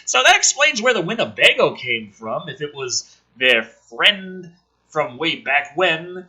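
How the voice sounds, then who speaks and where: A man hosts a casual script reading with sarcastic commentary. His voice is very bright and forceful with a raspy edge. He swears freely and swings his pitch widely between narration and rant. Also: sing-song, a little slow. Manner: sarcastic, profane. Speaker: host reading a film script aloud with commentary. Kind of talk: casual dramatic script reading with ranting asides.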